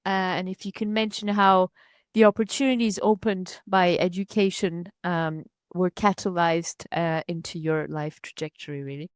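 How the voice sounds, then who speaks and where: A woman's voice: fairly low pitch, steady tone, dry recording. Formal woman host, dry sound. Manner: measured, formal, with filler ums. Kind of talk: prepared interview introduction.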